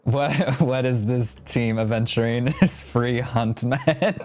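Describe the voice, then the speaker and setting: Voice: medium pitch, takes it slowly, a little mellow. A game streamer giving casual live commentary with playful reactions and exclamations. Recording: game music behind the voice. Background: video game music and sounds.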